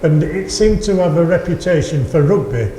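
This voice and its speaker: Mellow Mancunian male radio host, echoey room. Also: a little high, expressive, normal speed. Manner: genial, jokey, informal. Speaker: community radio host, interviewer. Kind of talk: casual live radio interview. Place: live radio theatre with audience. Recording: echoey room, faint background noise.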